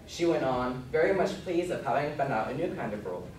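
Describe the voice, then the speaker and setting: A man's voice: medium pitch, expressive, fairly quick. Male narrator in an echoey room. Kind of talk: scripted storybook narration on stage. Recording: echoey room, distant mic, noisy.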